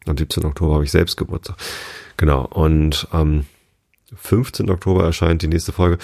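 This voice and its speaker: Hoarse male podcast host, very low gravelly voice, rambling casual delivery, dry close sound. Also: dry recording. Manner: rambling, relaxed, many fillers and restarts. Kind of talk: casual sleep podcast chat.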